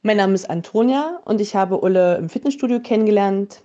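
A woman introducing herself and describing a friend in a steady, slightly formal voice message. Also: medium pitch. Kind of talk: read-out voice message. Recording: dull phone voice memo.

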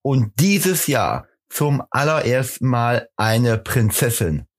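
A man with a mid-pitched voice trading casual banter on a podcast. He is dry and teasing, correcting his co-host. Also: very animated, slow.

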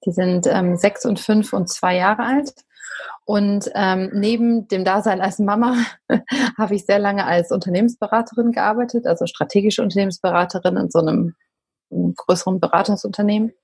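A woman's voice: mid-range voice, fairly even intonation, moderate pace, a little mellow, breathy edge, no echo. A woman in her thirties from Berlin, a podcast guest who tells her story in a chatty way with many fillers. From Berlin, Germany. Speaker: podcast guest, company founder. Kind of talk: casual interview talk.

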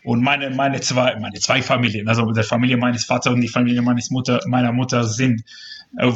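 Man talking casually, slightly dull sound. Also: mid-range voice. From Israel. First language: Hebrew. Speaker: interview guest, journalist. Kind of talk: casual personal conversation.

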